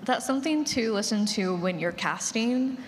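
A woman's voice: fairly high pitch, lively intonation, moderate pace. A woman giving casual advice as a panelist. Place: large room with audience. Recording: echoey hall with faint noise.

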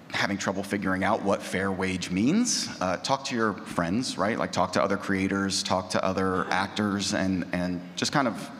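A man's voice: low-pitched, fairly bright tone. A man talking casually and a bit ramblingly on a panel, recorded in a noisy, echoey room. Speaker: panelist, audio drama creator. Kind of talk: conversational panel discussion.

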